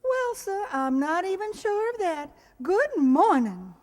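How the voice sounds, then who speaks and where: In a reverberant hall, a woman plays a haughty, exasperated fiancée in an old-time radio comedy. Her high voice swings with lively inflection, prim and unyielding. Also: slow pace, exaggerated voice. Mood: firm, indignant. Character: haughty, irritable, unyielding fiancée woken early. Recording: echoey hall with long reverb.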